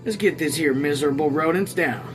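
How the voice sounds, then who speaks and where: A man voices a hungry, plain-spoken fellow from a novel in a slightly high voice. He talks bluntly in folksy country phrasing. Music plays clearly behind him. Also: fairly quick, close to an everyday voice. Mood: eager. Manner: folksy rural dialect wording. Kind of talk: audiobook-style character reading.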